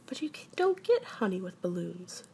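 A woman's voice: mid-range voice, sing-song, normal speed, creaky, a little mellow, slight background noise. A woman voicing a young boy in a children's storybook, practical and matter-of-fact, asking questions. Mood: curious.

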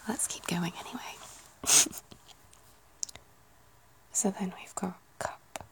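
A woman's voice: fairly low pitch, slow pace. A woman in her thirties with a British English accent, whispering casual ASMR chat and musing to herself. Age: 30s. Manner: whispering, musing, self-correcting.

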